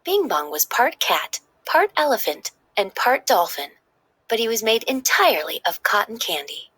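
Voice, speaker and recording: Husky, expressive woman reading a storybook. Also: on the high side, moderate pace, dry recording. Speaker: children's story reader. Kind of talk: expressive scripted read-aloud narration.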